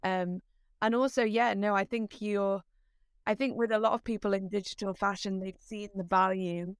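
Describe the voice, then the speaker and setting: A woman's voice: mid-range voice, steady tone, normal speed, smooth, dry recording, studio quality. A woman interviewing a guest in a casual, affirming, chatty way.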